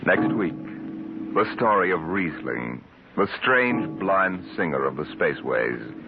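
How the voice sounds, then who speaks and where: A man's voice: low voice, very animated, unhurried. A man giving dramatic scripted narration for an old-time radio show. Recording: tinny old radio recording. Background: music.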